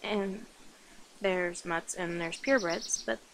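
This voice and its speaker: A woman playing a flirty, affectionate, slightly nervous vampire in an intimate ASMR roleplay, speaking softly, coyly and hesitantly to the listener. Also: fairly low pitch, fairly even intonation, slow pace, creaky, a little hiss or hum, heavily acted. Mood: explaining softly. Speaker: voice actor in an audio roleplay.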